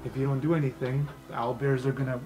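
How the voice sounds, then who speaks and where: A man's voice: mid-range voice, level tone, quick pace. A man acting as game master, narrating an improvised fantasy game in a casual, vivid, sweary way. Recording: music behind the voice. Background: background music.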